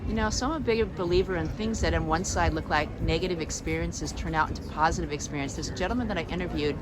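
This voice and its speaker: A woman's voice: fairly low pitch, quick pace. A woman interviewing a farmer at a farmers market, talking with enthusiasm and telling stories. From San Diego, California. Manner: enthusiastic, storytelling. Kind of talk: on-location interview. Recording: outdoor street noise, traffic.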